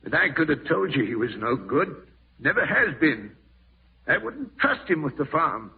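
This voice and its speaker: On a thin, narrow-band old radio recording, a stern, ailing old uncle who owns a farm. His voice is very husky and rasping, with wide swings of pitch. He speaks in a deliberate, folksy, commanding way, in the style of 1940s radio drama. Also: very animated, full character voice. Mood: solemn, confiding. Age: older. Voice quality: gravelly, husky.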